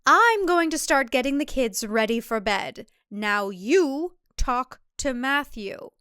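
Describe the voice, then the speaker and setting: Woman playing a sharp, high-pitched cult matriarch. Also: expressive, slow, smooth, no echo, clean studio sound, full character voice. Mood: commanding. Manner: sharp, commanding, falsely sweet. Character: stern, controlling cult matriarch, coolly dismissive, sharp-tempered.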